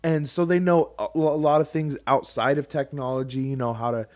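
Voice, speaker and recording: A man's voice: a little high, steady tone, fairly quick, darkish tone. Rambling, frank man hosting a podcast. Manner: rambling, frank, with mild swearing. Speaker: podcast host. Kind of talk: casual podcast chat.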